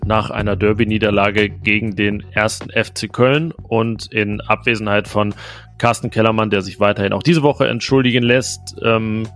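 Male podcast host, low gravelly voice, casual hesitant delivery, faint music underneath. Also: steady tone, moderate pace, fairly bright tone. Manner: conversational, filler-heavy. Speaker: podcast host, sports reporter. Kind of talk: casual football podcast chat.

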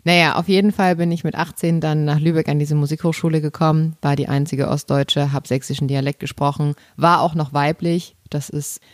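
A woman's voice: deep voice, steady tone, fairly quick, hardly any pauses, no room sound. Chatty woman with a tinge, dry sound. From Saxony, Germany. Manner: chatty, explanatory. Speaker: podcast host. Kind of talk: casual podcast conversation.

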